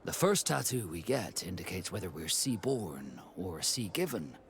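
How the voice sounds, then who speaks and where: An actor playing a proud, reserved male ship captain in a video game, speaking in a measured, formal and courteous way. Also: deep voice, very animated. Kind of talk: video game dialogue acting.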